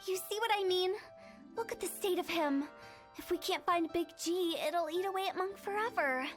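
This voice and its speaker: A woman's voice: extremely high pitch, moderate pace. A woman voicing a playful, teasing young woman who directs a funeral parlor, with a giggly, breezy manner, in game audio. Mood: earnest. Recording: game audio with background music.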